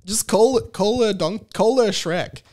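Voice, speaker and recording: A man hosting a casual comedic solo podcast, rambling with stammered restarts and animated, incredulous emphasis. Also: sits high, unhurried. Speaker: podcast host.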